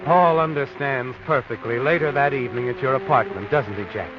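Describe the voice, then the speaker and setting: An actor playing an ominous, all-knowing narrator in an old radio mystery, speaking in a sly, insinuating way on a muffled, noisy recording. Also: male voice, medium pitch, fairly quick, natural voice. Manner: insinuating, addresses a character directly. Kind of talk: 1940s radio mystery narration in second person.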